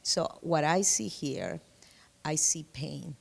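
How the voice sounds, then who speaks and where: Lady, low gravelly voice, takes her time, reverby room. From Peru. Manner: slow, emphatic, repeats key words. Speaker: discussion participant. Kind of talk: reflective group discussion.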